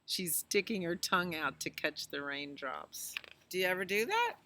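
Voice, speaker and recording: A woman's voice: fairly low pitch, expressive, moderate pace, bright, forceful tone, slight background noise. A woman reading a picture book aloud to children, with chatty asides, exclamations and questions to her listeners. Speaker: children's storytime reader at a library.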